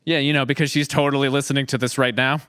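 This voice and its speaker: A man's voice: medium pitch, flat delivery, fast, a bit bright, clear, resonant tone. A man co-hosting a podcast, trading casual, playful banter and wisecracks. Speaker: podcast co-host.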